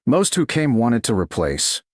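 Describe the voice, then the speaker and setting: Man voicing a steadfast, quietly certain woman, expressive pitch. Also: a little slow, no room sound, clean studio sound. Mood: even, earnest. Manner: quiet, even, plain short answers.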